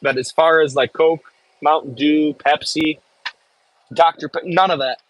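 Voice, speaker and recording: A man's voice: fairly high pitch, fairly even intonation, unhurried, no room sound, low-quality recording. Chatty Michigan-accented man on a livestream. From Michigan, US Midwest. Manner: rambling, reads and answers chat aloud. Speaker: livestream host. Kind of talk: casual live-stream chat.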